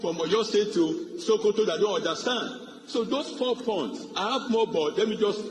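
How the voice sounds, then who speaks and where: A man's voice: sits high, normal speed. A middle-aged Nigerian man, a native Yoruba speaker, giving a floor speech as a legislator. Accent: Nigerian Yoruba-region English. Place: large indoor legislative chamber. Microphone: desk gooseneck microphone. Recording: echoey noisy chamber, long reverb.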